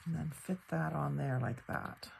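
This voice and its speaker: A woman speaks low and gravelly, her voice a little crackly and hoarse from a cold. She talks through a paper craft project in an unhurried, chatty way, musing aloud as she cuts and glues. A faint hum of room ambience sits under her voice. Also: fairly even intonation. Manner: thinks aloud while working, unhurried and chatty. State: slightly hoarse, fighting off a cold. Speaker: craft channel host. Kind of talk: casual crafting tutorial narration.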